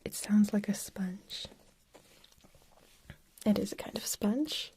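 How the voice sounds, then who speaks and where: A woman's voice: medium pitch, takes it slowly. Whispering woman hosting an ASMR livestream. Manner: soft whispering, chatting with viewers while making trigger sounds.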